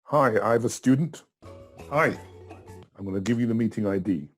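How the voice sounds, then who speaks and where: A middle-aged man giving a casual step-by-step tutorial in a chatty, simple way, with a husky voice. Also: lowish voice, moderate pace, faint music behind. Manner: simple, chatty, talking himself through each step. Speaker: tutor in a tutorial video, also acting as the student.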